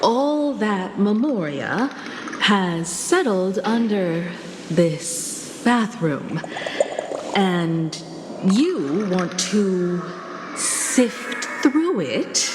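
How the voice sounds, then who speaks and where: An actress playing a polite, composed woman in a video game, speaking in a measured, hesitant way. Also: mid-range voice, sing-song, background noise. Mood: hesitant disbelief. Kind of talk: video game character acting. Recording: echoey reverberant space with game music.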